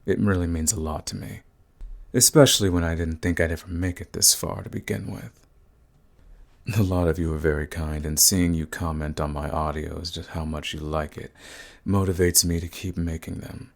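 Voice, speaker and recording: Male channel host, very deep with a creaky edge, casually addressing subscribers. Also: fairly even intonation, normal speed. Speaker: ASMR voice performer and channel host.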